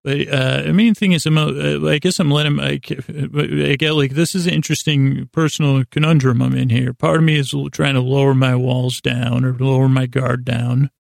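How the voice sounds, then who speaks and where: A man with a gravelly voice hosting a bedtime podcast, talking in a hesitant, meandering way, stumbling over words and drifting off into tangents to lull listeners to sleep. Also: mid-range voice, little pitch movement, moderate pace, hardly any pauses, dry recording, clean studio sound. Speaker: podcast host. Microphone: close studio mic.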